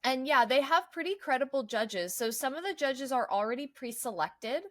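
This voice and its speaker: A woman's voice: sits high, animated, moderate pace, fairly bright tone, no room sound. An American woman co-hosting a podcast, chatting casually in an agreeable, conversational way. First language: English. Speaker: podcast co-host.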